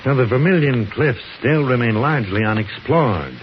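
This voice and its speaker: An actor playing a genial, enthusiastic professor and explorer with cultivated speech in a 1950s radio drama. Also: male voice, medium pitch, lively intonation, moderate pace, lightly acted. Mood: eager, genial. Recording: muffled 1950s radio recording with hiss.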